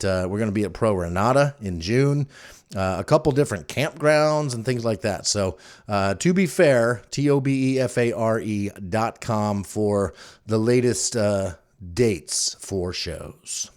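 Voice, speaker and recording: Low-voiced middle-aged man, casual podcast host. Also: darkish tone. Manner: chatty, conversational storytelling. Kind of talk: casual solo podcast monologue.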